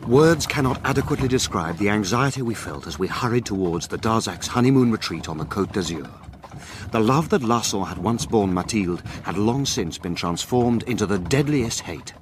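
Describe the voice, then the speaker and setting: Man acting grave gentleman narrator, low, expressive range, literary, ominous, scripted drama, noticeable ambient noise. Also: normal speed, close to an everyday voice. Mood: urgent, grave. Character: grave gentleman recounting a mystery adventure. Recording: clear ambient background noise.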